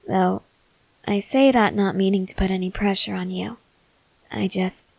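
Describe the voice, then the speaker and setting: Girl voice acting a stoic young soldier woman, asmr roleplay, flat serious delivery, careful pauses, really dry audio. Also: mid-range voice, dry recording, poor audio. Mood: earnest, quiet. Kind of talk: ASMR roleplay, acted scene to a listener.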